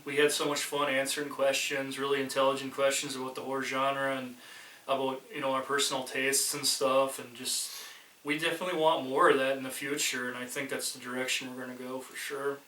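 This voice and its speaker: A man's voice: medium pitch, little pitch movement, brisk, sharp tone, echoey room, distant mic, rough recording. A man co-hosting a casual horror podcast, talking enthusiastically, laughing easily and swearing offhand. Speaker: podcast co-host. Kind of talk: casual chat.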